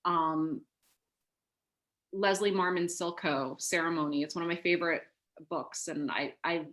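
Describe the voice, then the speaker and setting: A woman's voice: fairly low pitch, steady tone, unhurried, fairly bright tone. A middle-aged woman from the United States speaking reflectively as an author on a video call panel. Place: home room on a video call. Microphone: video call microphone.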